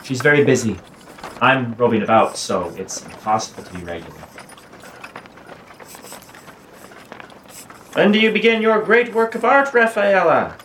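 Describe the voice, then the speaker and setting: A woman playing an idle, teasing young gentleman in a period audio drama, languid, wry and mock-courteous. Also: very deep voice, expressive, fairly quick. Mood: casual, curious. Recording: roomy echo, faint background noise.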